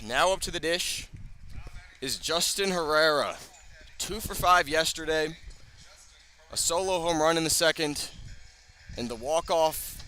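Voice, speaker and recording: A man calling live baseball play-by-play for radio, describing the action in measured phrases with dramatic emphasis. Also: a little high, pushed and bright. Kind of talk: live radio sports commentary. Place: outdoor ballpark broadcast.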